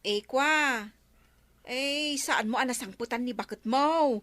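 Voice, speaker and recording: Woman acting a nosy high-voiced neighbour. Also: takes it slowly, a bit bright, no room sound. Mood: nosy, questioning. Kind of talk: comic audio drama acting.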